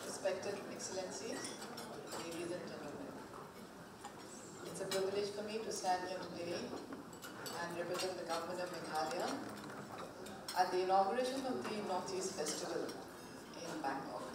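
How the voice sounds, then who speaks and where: A woman's voice: on the low side, moderate pace, pauses often. Woman speaking in a reverberant hall. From northeast India. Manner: conversational, with "you know" fillers. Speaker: state government representative. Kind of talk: formal speech. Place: hotel function suite. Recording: echoey hall, distant mic, crowd noise.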